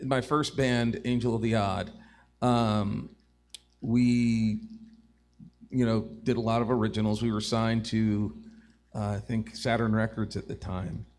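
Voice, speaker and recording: A man who is a singer-songwriter, talking casually and haltingly between songs. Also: lowish voice, flat delivery. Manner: hesitant, halting delivery. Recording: loud acoustic guitar behind. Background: guitar playing.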